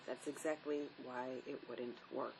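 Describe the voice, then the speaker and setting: A woman's voice: a bass voice, fairly even intonation, unhurried, darkish tone, heavily acted, rough recording. A woman acting a jaded, self-mocking runaway in a drama reading, sardonic and resigned. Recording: clear background noise, room ambience.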